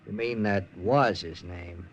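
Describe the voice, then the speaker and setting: An actor playing a tough, decisive American secret agent captain who narrates in a clipped, hardboiled manner, with an American accent, in 1940s radio drama style. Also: male voice, low-pitched, slow, dull audio, slight background noise. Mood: urgent.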